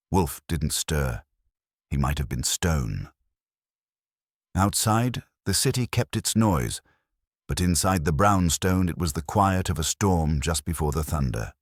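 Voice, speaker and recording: A man narrating a first-person detective story in a measured, dramatic storytelling delivery. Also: a bass voice, dry recording, clean studio sound. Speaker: audiobook narrator.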